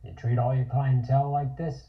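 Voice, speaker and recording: A man playing a terse, watchful, dry man in a 1920s noir comic table read, deadpan and understated. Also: mid-range voice, normal speed, close to an everyday voice. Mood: gruff, curt. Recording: roomy, muffled, distant mic.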